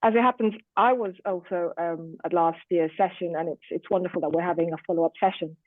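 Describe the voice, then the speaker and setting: A woman's voice: fairly low pitch, fairly even intonation, fast, no room sound. A woman chairing an online panel in a formal moderating style, heard over muffled, lo-fi call audio. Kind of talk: formal event moderation, addressing an online audience. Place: online video meeting.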